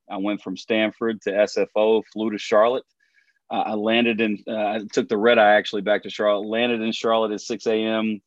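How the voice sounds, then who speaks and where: A hoarse man in his forties, a basketball trainer and podcast guest, talking earnestly and often repeating words. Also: lowish voice, no room sound, dull audio.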